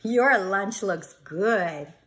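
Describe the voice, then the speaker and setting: A curious, slightly wistful young turtle boy in a storybook, read by a woman. The voice is bright and expressive, with an admiring, inquisitive tone. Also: mid-range voice, very slow.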